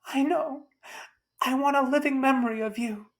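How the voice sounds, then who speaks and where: A woman's voice: high voice, normal speed, voice pushed up. A woman playing a devoted young lover, romantic and stubbornly insistent, in a storybook. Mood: insistent, pleading.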